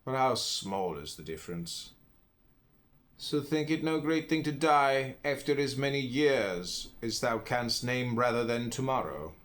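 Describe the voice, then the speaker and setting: A man with a medium-pitched voice reads classical philosophy aloud. His delivery is deliberate and declamatory, setting phrases apart with emphatic pauses. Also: lively intonation, fairly bright tone. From United States. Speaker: reader, podcast host.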